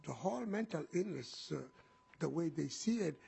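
A middle-aged man with a Somali accent giving public testimony in deliberate, hesitant phrases. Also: a little high, expressive, creaky, dark tone, breathy edge. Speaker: program manager giving testimony. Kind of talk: public testimony, spoken semi-freely.

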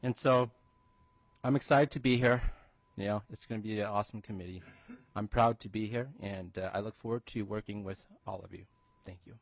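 A man's voice: fairly low pitch, steady tone, fairly quick, dry recording. A man from Minnesota giving an upbeat, personal introduction at a committee hearing. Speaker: state representative.

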